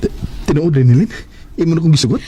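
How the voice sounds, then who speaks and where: Male actor as young Filipino working man in a radio drama: lovelorn, earnest, very animated pitch, music bed underneath. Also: fast talker, lightly acted. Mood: smitten.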